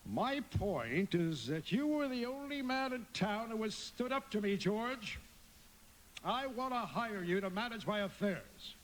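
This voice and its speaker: An imperious old banker in a live radio play. His high voice swoops up and down as he persuades and bullies with pompous self-assurance. A light hiss sits under the recording. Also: expressive, unhurried. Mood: coaxing. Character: scheming, imperious older male banker and tycoon. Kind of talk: live radio drama acting.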